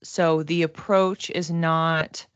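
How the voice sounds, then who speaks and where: A woman giving a casual livestream psychic reading, talking to viewers conversationally and thinking aloud in halting phrases. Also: sits low, little pitch movement, clean tone, no echo. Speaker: psychic reader and class teacher.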